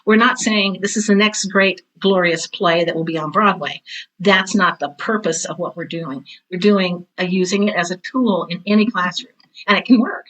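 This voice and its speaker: Woman, 60s, forward bright tone, emphatic and chatty, interview guest telling anecdotes. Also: lowish voice, moderate pace, low-quality recording. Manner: chatty, emphatic, often quotes herself and others. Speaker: guest, veteran theater teacher and author. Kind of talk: animated conversational interview, anecdotes.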